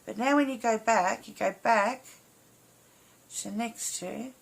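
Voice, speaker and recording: Slow-paced, croaky woman teaching a craft. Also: fairly high pitch. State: slightly hoarse, croaky voice. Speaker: embroidery instructor. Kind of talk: casual step-by-step craft tutorial.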